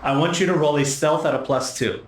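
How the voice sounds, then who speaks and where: Male game master, conversational tabletop narration, slightly high voice, roomy recording with faint noise. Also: a little fast, far from the mic.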